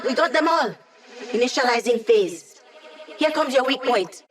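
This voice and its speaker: A woman's voice: fairly high pitch, lively intonation, moderate pace, lightly acted. A young woman voicing a wry, quippy digital hacker who gives mission support in a video game, in a conversational way. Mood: focused, urgent.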